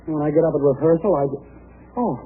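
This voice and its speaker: An actor playing an earnest, bashful young college student, stammering and deferential, in an old radio comedy-drama. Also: male voice, a little high, quick pace, slightly rough. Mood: bashful, eager. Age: young adult. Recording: old narrow-band radio broadcast with music.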